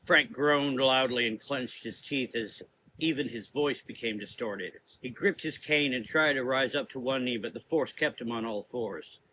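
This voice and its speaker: Male narrator for gothic fiction: scripted delivery, sharp forceful tone, some background ambience. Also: medium pitch, normal speed, slight background noise, poor audio.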